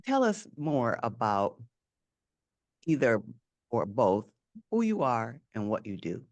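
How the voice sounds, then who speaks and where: A woman's voice: extremely low pitch, big pitch swings, slow, a little mellow. A woman hosting a podcast interview, friendly, often restarting and rephrasing her questions. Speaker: podcast host.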